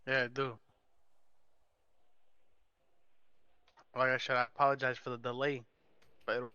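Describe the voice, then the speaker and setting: A man playing an apologetic, defensive mob captain in an online game roleplay, speaking haltingly over slightly dull voice chat. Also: medium pitch, level tone, sharp tone, clean tone, no echo, close to an everyday voice. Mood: submissive. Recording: dull, band-limited voice chat.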